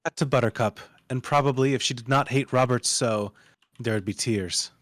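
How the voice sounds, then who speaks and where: A man's voice: mid-range voice, normal speed, fairly bright tone, dry recording, studio quality. A man giving dramatic scripted narration, reading stage directions like a storyteller.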